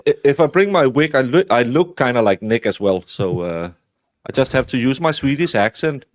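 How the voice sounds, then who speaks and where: A man's voice: medium pitch, animated, moderate pace, dry recording, studio quality. A man as a guest on a casual livestream, making bawdy jokes in rambling, restarting sentences.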